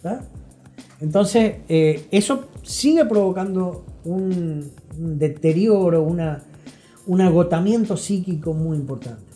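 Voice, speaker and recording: A middle-aged man with an Argentine accent, explaining things as an expert guest in an interview in an emphatic, deliberate way, pausing to check he is understood. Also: sits high, animated, mellow, faint music behind. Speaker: guest expert, psychology professional.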